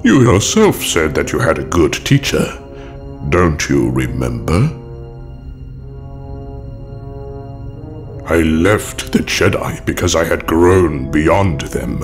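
A sinister old dark lord, super low voice, unhurried n formal, menacing, audio drama. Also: male voice, sing-song, heavily acted. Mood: knowing, taunting. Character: elegant, sinister older male dark lord, coldly persuasive. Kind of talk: fan audio drama acting. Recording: music behind the voice.

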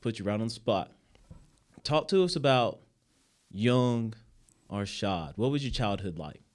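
A man's voice: fairly low pitch, animated, unhurried. A man hosting a podcast from a school studio, giving upbeat, hype-filled welcomes and questions with casual fillers. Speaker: podcast host, history teacher.

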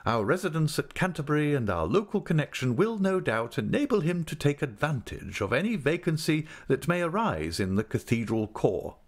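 A man doing a pompous, florid gentleman. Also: a little high, very animated, normal speed, hardly any pauses, pitched above the performer's own voice. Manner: grandiloquent and ornate.

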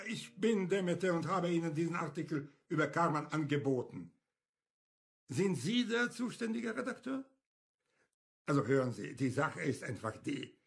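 An actor playing an embittered, irascible journalist in a German radio play, insistent and sarcastic, with a gravelly, husky voice. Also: male voice, on the high side, a bit bright, heavily acted. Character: embittered, indignant male journalist, camp survivor.

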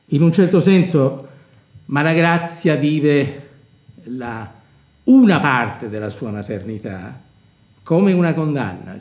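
A man's voice: fairly high pitch, a little mellow. Older scholarly man in a roomy space. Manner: scholarly, deliberate, hesitant searching for words. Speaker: university professor of performing arts. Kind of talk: reflective literary commentary. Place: dark indoor theatre stage set, seated at table. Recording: roomy, echoing stage sound.

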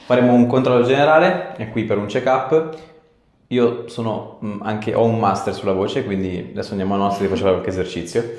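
A man in his thirties with a low voice talks as a chiropractor at work. He gives short, casual instructions and comments, recorded in a small, clearly echoing treatment room. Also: a little fast, a little mellow. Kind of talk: casual hands-on session talk with short instructions.

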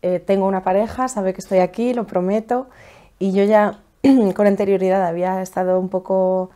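A woman's voice: medium pitch, fairly even intonation, warm tone. A woman in her thirties from La Mancha, thoughtfully describing her relationship in a home interview. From La Mancha, Spain. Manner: thoughtful, measured, some humming pauses. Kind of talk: personal account. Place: living room at home, indoors.

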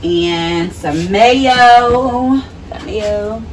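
A woman's voice: mid-range voice, drawn-out words. A woman narrating a grocery haul casually, as a home vlogger. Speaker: vlogger, mother. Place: home kitchen, indoors. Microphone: camera mic at a short distance. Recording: distant mic, clearly noisy home recording.

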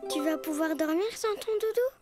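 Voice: extremely high pitch, fairly quick, over loud music. A performer voicing a sweet, earnest little brown bear cub boy in a preschool cartoon, with childlike speech. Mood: wistful.

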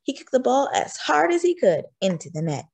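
A woman reading a children's storybook aloud in an expressive, acted-out way. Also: high-pitched, a little fast, gravelly, husky. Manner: expressive, acts out dialogue. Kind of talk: animated scripted storybook narration.

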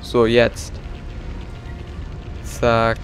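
A man's voice: medium pitch, monotone, very slow, faint music behind. A young man casually commenting on his own gameplay, chatty and joking. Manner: chatty, joking, mixes in playful English. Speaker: let's play gamer.

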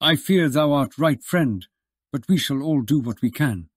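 A man's voice: mid-range voice, expressive, measured pace, breathy edge, no room sound, clean studio sound. An actor playing a solemn, kindly Quaker man with archaic thee-and-thou speech in an audiobook. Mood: solemn, grateful.

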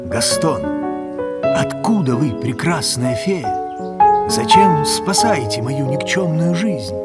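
A man's voice: fairly high pitch, animated. A man voicing a brooding, theatrical male horse in a cartoon, melodramatic and lovelorn. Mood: smitten. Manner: melodramatic, drawn-out. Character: brooding, theatrical male horse villain turned smitten suitor. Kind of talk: cartoon voice acting. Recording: loud music behind the voice.

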